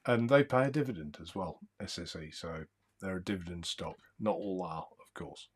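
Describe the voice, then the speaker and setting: A man hosting a live stream, chatting in a calm, soothing, easygoing way and reading out viewer comments. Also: low-pitched, normal speed, slightly rough. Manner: rambling, easygoing, chuckles often. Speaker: livestream host.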